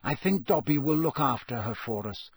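A man reading aloud and voicing a wise, elderly headmaster with a husky, measured, formal delivery. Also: mid-range voice, expressive, dry recording. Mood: commanding. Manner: measured, commanding, gently formal. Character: old wise male headmaster, calm authority.

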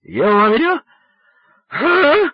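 A man voicing a drunk, clingy teenage boy in an audiobook, yelling and pleading in a slurred, drawn-out way. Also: very high voice, huge pitch swings, dry recording, lo-fi sound, voice pushed up, full character voice. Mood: slurred pestering.

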